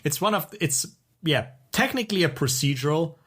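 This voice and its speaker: A middle-aged man co-hosting a podcast, chatting casually and enthusiastically with a halting delivery. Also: fairly high pitch, lively intonation, a bit bright, husky. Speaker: podcast co-host. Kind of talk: casual chat about TV shows.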